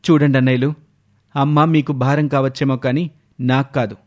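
A man's voice: medium pitch, level tone, moderate pace, natural voice. A man playing a proud, dutiful youngest son in a cartoon, speaking earnestly and firmly. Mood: hurt, firm. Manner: earnest, firm.

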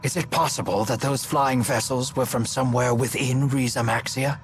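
A man voicing a courtly, wise elderly gentleman in a video game, formal, measured and precise. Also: mid-range voice, steady tone, natural voice. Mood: grave, thoughtful. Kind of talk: English video game voice acting. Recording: game music behind the voice.

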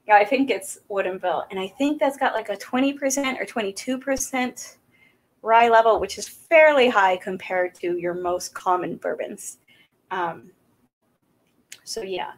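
A woman's voice: medium pitch, a little slow, low-quality recording. Chatty woman hosting a whiskey livestream. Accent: Southern American. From South Carolina. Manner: chatty and rambling, with many fillers. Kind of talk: casual live-stream chat. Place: small home room indoors. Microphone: desk microphone on a boom arm.

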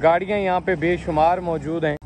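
A man in his thirties walking around a used car market outdoors, showing cars and chatting with owners in brisk, repetitive patter. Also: on the high side, fairly even intonation, darkish tone, slight background noise. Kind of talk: walkaround car showcase with owner chats.

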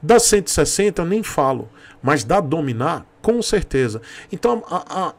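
A man in his thirties speaks with a Bahian accent from Brazil in a fairly high-pitched voice. He hosts an informal commentary video, driving points home by repeating them. He talks close to a desk microphone in a small room at home. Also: very animated, normal speed, vocal fry. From Salvador, Bahia, Brazil. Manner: emphatic, repeats points for stress. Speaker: YouTube host, motorcycle commentator. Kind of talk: informal opinion commentary to camera. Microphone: desk microphone close in front.

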